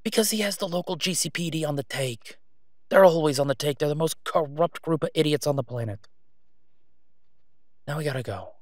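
A man's voice: on the high side, fairly quick, long pauses, no echo, clean studio sound, lightly acted. A man playing a sardonic, exasperated but protective vigilante antihero in an audio roleplay, with a weary, clipped manner. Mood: resigned, urgent. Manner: sarcastic, weary, clipped, emphatic when irritated. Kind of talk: solo audio roleplay acting, conversational.

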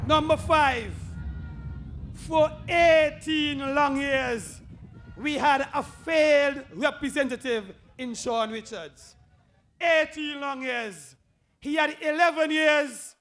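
A man with a Kittitian accent giving an emphatic, rousing political rally speech as a candidate, repeating phrases and raising them to shouts. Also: very high voice, slow, a little hiss or hum.

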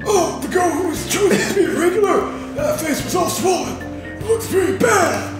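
A very high, falsetto gossiping schoolgirl from a video game, put on by a man. The voice is echoey and sits far from the mic, under game music. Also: animated, moderate pace. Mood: gossipy. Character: gossiping teenage schoolgirl heading home.

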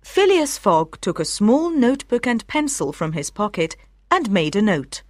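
A woman reading scripted audiobook narration, telling a story with clear articulation for language learners. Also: mid-range voice, very animated, moderate pace, no echo, studio quality.

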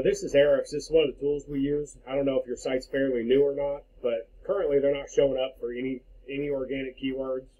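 A man's voice: mid-range voice, husky, phone-quality sound. A man giving an informal, plain-spoken screen-share walkthrough to a client as a marketing consultant, explaining things conversationally.

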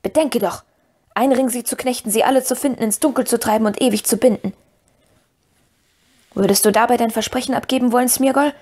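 A woman voicing a stern, commanding yet pitying male hobbit in a fantasy story, speaking in a firm, measured way. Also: fairly high pitch, fairly even intonation, darkish tone, natural voice. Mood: stern, warning.

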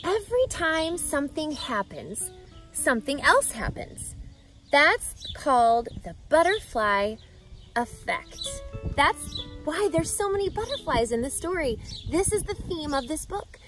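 A woman in her thirties reading a children's book aloud outdoors, with an expressive, teacherly delivery that emphasises key words. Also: high voice, measured pace, faint music behind. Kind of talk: animated children's picture-book read-aloud. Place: outdoors, grassy lakeside yard. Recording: outdoor ambient background noise.

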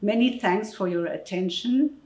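A middle-aged woman giving a scripted public information address in a measured, formal, deliberate way. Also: medium pitch, a little mellow. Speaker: presenter of advice for parents. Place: indoor office room. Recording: echoey room.

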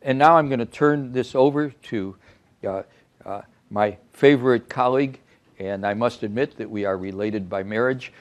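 Older man giving a halting research talk. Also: medium pitch. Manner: halting, with many fillers and restarts. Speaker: cancer researcher presenting. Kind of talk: scientific talk at a reception. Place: conference room with an audience.

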